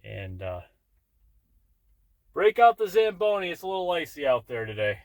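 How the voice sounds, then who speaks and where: A man's voice: fairly high pitch, very animated, normal speed, no echo. A man talking in a casual, rambling, plain-spoken way, like a truck driver vlogging from his cab. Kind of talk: casual rambling vlog chat.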